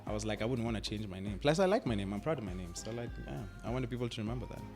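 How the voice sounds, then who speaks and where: A man's voice: on the low side, rapid-fire, over music. A young Kenyan man, an actor, chatting easily in a radio interview, laughing often and filling with "like" and "I mean". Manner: relaxed, laughs often, uses "like" and "I mean" a lot. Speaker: actor guest. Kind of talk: radio interview, casual chat.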